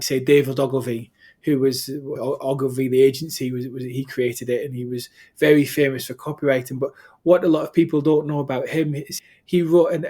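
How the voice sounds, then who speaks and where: A man's voice: medium pitch, darkish tone. Rambling man hosting an interview. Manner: rambling and anecdotal, with many restarts. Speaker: interview host. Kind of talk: interview conversation.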